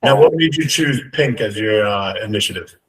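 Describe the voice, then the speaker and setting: A man's voice: fairly low pitch, lively intonation, moderate pace, breathy edge, recorded from a distance, low-quality recording. A man hosting an interview show, introducing it and putting questions to his guest. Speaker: podcast host.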